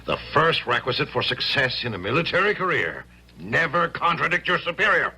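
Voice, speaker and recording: Pompous, wildly swooping Hessian colonel. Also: male voice, a little high, big pitch swings, a little fast, pushed and bright, breathy edge, exaggerated voice. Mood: pompous, lecturing. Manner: pompous, exclaiming, lordly. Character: pompous, bellowing Hessian colonel, an older commander. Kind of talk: 1970s radio drama acting. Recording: vintage radio broadcast.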